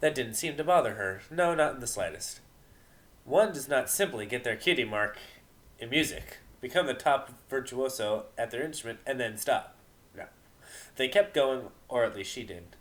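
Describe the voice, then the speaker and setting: A man of medium pitch reads a story aloud as narrator. His delivery is dramatic and deliberate, putting weight on key words. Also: animated, a bit bright.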